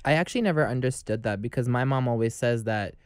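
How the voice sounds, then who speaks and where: A man co-hosts a podcast and asks long, chatty questions in casual talk. His voice is clear and smooth at a middle pitch. The recording is dry and close in a small studio. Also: fast, no room sound. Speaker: podcast co-host. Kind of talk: casual interview conversation. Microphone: studio microphone on boom arm.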